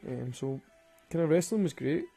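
A man's voice: on the high side, moderate pace, creaky, warm tone, breathy edge, faint music behind. A man with a Glaswegian Scottish accent, rambling and swearing through casual stories and laughing at his own jokes. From Glasgow, Scotland. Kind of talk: casual storytelling monologue.

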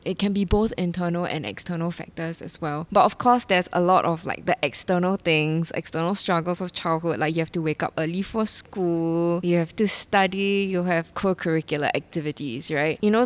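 A woman in her late twenties with a Singaporean English accent, hosting a casual podcast chat in a joking way and using lots of "like" and "right?". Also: lowish voice. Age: late 20s. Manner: chatty, joking, many fillers like "like" and "right?". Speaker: podcast host and producer.

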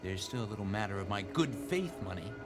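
A man's voice: lowish voice, lively intonation, fairly quick, lightly acted. A man playing a cocky, wisecracking smuggler starship captain in a radio drama, with a brash, slangy delivery. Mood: boastful, sly. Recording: loud music behind the voice.

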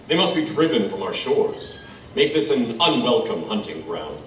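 Low, echoey, grimly knowing monster expert played by a man. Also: very animated, normal speed, fairly bright tone, slight background noise. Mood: urging. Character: grave, knowing male counselor on monsters, wryly grim. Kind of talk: audio drama acting. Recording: echoey, distant, faint background ambience.

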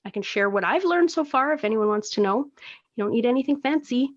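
A woman's voice: a little high, animated, fairly quick, lo-fi sound. A Canadian woman hosting her own podcast, rambling in a chatty, self-deprecating way. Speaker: podcast host. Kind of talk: casual solo podcast monologue. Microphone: phone recording.

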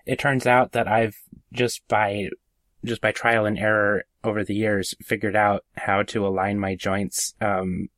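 A man co-hosting a podcast in casual conversation, speaking somewhat haltingly and repeating himself. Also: fairly low pitch, flat delivery, a bit bright, dry recording. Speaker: podcast co-host.

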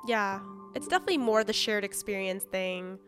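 A woman whose first language is Chinese, co-hosting a casual podcast chat; chatty and quick to laugh. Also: mid-range voice, faint music behind. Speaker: podcast co-host.

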